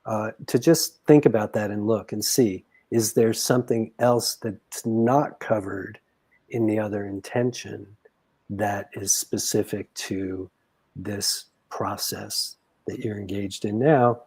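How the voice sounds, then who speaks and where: A man guiding a counseling conversation as a grief counselor, in a measured, deliberate way. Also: on the low side, steady tone, a little mellow, breathy edge.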